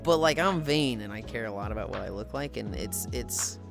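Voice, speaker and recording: A woman in her thirties chatting on a casual livestream, swearing freely and laughing through animated rants while she exercises. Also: extremely low pitch, a little fast, gravelly, over music. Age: 30s. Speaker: streamer.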